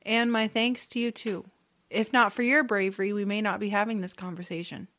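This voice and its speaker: A woman playing an earnest, noble female traveller in a family fantasy audio drama, speaking formally and gravely. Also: medium pitch, fairly even intonation, fairly quick, a bit bright, close to an everyday voice. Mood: earnest, grateful.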